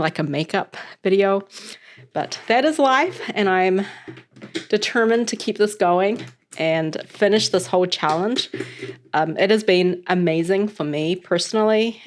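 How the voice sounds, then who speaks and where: Chatty New Zealand woman, lowish voice. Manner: chatty and upbeat, with loose fillers. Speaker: host reading scripture. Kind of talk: casual chat, then scripture reading aloud.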